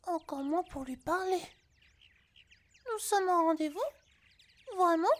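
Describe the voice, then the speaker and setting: A woman's voice: extremely high pitch, takes it slowly. A woman voicing a vain, scatterbrained harpy girl with a childlike, flighty delivery in an ASMR roleplay. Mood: flattered, eager.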